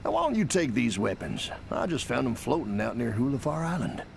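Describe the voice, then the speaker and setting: A man's voice: mid-range voice, big pitch swings, a little fast, gravelly. An actor playing a sly, chummy smuggler with folksy salesman patter in a video game. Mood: coaxing. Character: sly friendly smuggler, wheeling and dealing rogue. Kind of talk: video game character acting.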